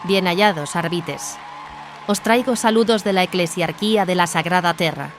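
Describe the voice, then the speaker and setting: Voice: mid-range voice, normal speed, over music, pitched above the performer's own voice, close to an everyday voice. A performer voicing a cautious, diplomatic warrior nun commander, formal and authoritative, in an audio drama. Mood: formal greeting.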